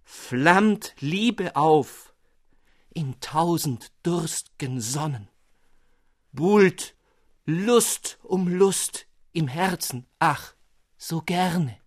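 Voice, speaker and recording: A man's voice: breathy edge, no echo, studio quality, heavily acted. A man voicing a lovesick young student who writes gushing, flowery love letters. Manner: florid, swooning, high-flown.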